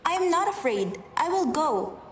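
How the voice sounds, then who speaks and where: A woman's voice: sits high, sing-song, normal speed, a little hiss or hum. A woman playing a bold, headstrong, teasing young girl in a radio drama. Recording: roomy echo, faint music behind.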